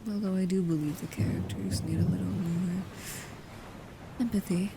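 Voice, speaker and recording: A woman playing a devoted, anxious, shyly affectionate vampire bodyguard in an intimate ASMR roleplay, speaking softly and haltingly, often near a whisper. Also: fairly low pitch, fairly even intonation. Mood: bashful, deflecting. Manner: hesitant, halting, soft-spoken, often dropping to a whisper. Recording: clearly audible background ambience noise.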